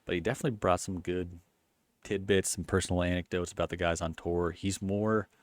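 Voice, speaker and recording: A man hosting a golf channel, giving a casual rambling recap in a flat, low-energy monotone full of fillers and restarts. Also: a little fast, no echo.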